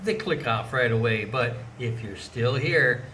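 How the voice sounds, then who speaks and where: A man's voice: mid-range voice, expressive, measured pace, bright, forceful tone. A man chatting casually in a rambling, folksy, joking way as a travel vlogger walking along. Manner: folksy, joking, informal mild swearing. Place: outdoors, walking along streets. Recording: outdoor street noise, passing vehicles.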